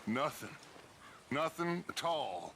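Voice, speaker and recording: A man's voice: a little high, big pitch swings, slow pace, a bit bright, a little hiss or hum, full character voice. An actor playing a grandiose, charismatic outlaw gang leader in a western game, fatherly and theatrical. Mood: elated, earnest.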